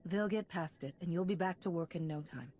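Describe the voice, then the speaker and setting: A woman's voice: fairly low pitch, fairly quick, darkish tone, slight background noise, natural voice. A woman playing a resolute, compassionate Jedi heroine in a video game, composed and earnest. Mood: concerned, reassuring.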